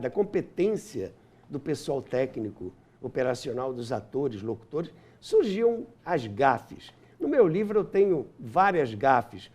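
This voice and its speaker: A man's voice: fairly high pitch, darkish tone. A man, telling anecdotes as an interview guest in an enthusiastic, nostalgic way. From Brazil. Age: 70s. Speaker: interview guest, radio historian and author. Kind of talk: animated anecdotal storytelling in an interview.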